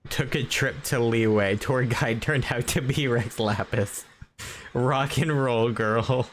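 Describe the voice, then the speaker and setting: A man's voice: mid-range voice, takes it slowly, a little hiss or hum. A man giving casual, laid-back live commentary as he plays a game, in short asides and trailing thoughts. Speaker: game streamer. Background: game music.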